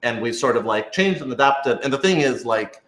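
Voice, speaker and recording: A man's voice: medium pitch, expressive, normal speed, fairly bright tone. A man chatting casually in a group Q&A as a writer and producer, thinking aloud in loosely built, hedged sentences. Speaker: scriptwriter and producer of an audio drama. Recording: roomy, echoey sound.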